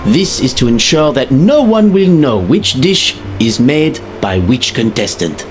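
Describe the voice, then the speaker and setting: A man's voice: mid-range voice, big pitch swings, measured pace, faint music behind. A man playing a grand, formal showman hosting a cooking contest in a video game. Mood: formal, explanatory. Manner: theatrical, formal announcing.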